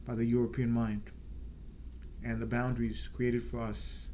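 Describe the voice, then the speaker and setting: A man hosting his own channel, giving an earnest unscripted political talk and slowing down to stress key words. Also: medium pitch, level tone, dark tone, a little hiss or hum. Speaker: channel host.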